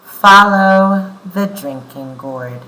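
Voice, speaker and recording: A woman's voice: medium pitch, animated, extremely slow, pushed and bright, low-quality recording. A woman hosting a read-aloud lesson for children as a teacher, explaining things simply.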